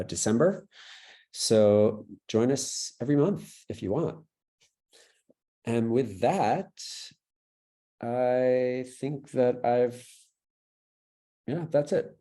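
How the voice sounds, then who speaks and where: A man's voice: mid-range voice, mellow. A man hosting an online event, introducing the speakers in an informal, loose way over a video call. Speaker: event host.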